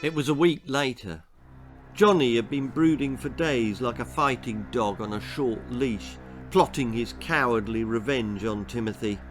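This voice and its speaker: A man reading a children's story aloud as a dramatic, expressive narrator. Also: medium pitch, a little slow, faint music behind. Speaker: author reading his own story.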